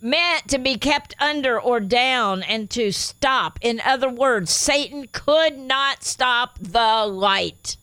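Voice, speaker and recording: A woman's voice: fairly high pitch, slow, few pauses, sharp tone, clear, resonant tone, no room sound. Enthusiastic woman with a slight Spanish accent. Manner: enthusiastic, reads scripture aloud with emphasis. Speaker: radio show co-host.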